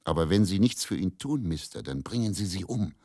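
A male actor voices an agitated man in a radio drama, pressing and blunt. The voice is low-pitched but jumps upward in urgency, and the delivery is hasty. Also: expressive, fairly quick, dark tone, dry recording, studio quality. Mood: urgent, alarmed.

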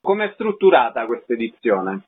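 Husky male radio host, slightly dull sound. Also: mid-range voice, sing-song, normal speed. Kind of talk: radio interview, announcing and questioning.

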